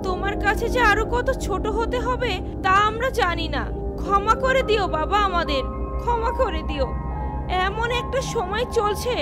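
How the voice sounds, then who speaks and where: A woman's voice: very high voice, normal speed. A woman voicing a kindly, anguished village mother in a cartoon, pleading and reproachful. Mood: apologetic. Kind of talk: cartoon dubbing. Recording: loud music behind voice.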